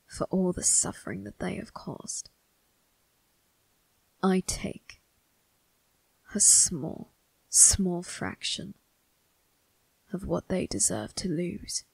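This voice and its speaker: A woman voicing a brooding, cold but protective young male vampire crime boss in an ASMR roleplay, speaking formally and deliberately in a raspy, breathy voice that dips into whispers. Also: fairly low pitch, darkish tone, dry recording, full character voice, rough recording. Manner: deliberate, formal, menacing, drawn-out phrasing. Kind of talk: ASMR roleplay, dramatic monologue addressed to listener.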